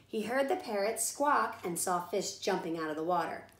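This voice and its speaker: A woman reading a children's storybook aloud in animated narration. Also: mid-range voice, normal speed. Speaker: storybook reader. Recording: roomy echo.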